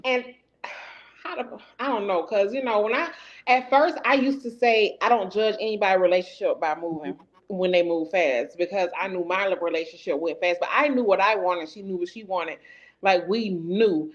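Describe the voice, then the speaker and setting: A woman in casual podcast talk, with a middle-pitched voice carried over dull, muffled stream audio. She gropes for words, restarts her sentences and leans on "you know" while she works out her opinion. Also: moderate pace. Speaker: podcast co-host. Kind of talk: casual chat.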